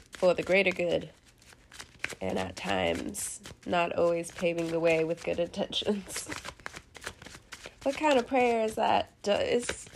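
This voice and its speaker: Chatty congested woman reading tarot, gravelly. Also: medium pitch, a little hiss or hum. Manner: chatty, laughs often, rambling asides, casual cursing. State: head cold, congested. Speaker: tarot reader host. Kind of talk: casual tarot reading monologue.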